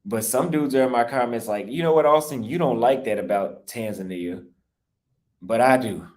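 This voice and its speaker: A man's voice: mid-range voice, moderate pace. A young American man livestreaming as a travel vlogger, talking casually and defensively in long rambling stretches with restarts, his voice a bit cracked. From United States. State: voice slightly cracked. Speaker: travel YouTuber live-streaming. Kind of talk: casual livestream talk reading super chats.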